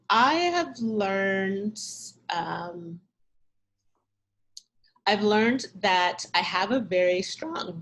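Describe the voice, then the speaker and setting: A woman curator answering questions in a talk, thoughtful and measured, pausing often over a video call. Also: on the low side, poor audio. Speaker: arts curator, guest speaker.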